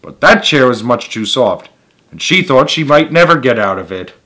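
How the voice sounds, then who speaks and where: A man's voice: medium pitch, big pitch swings, normal speed, bright, forceful tone. A man reading a children's story aloud as its host, with emphatic delivery and casual asides.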